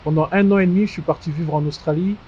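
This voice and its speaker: A man in his twenties who grew up in Strasbourg, giving conversational interview answers with frequent mid-phrase pauses. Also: a little high, steady tone, a little hiss or hum. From born in DR Congo, grew up in Strasbourg, France. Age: 20s. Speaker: interview guest, fashion house founder. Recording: dull muffled sound with music behind. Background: music.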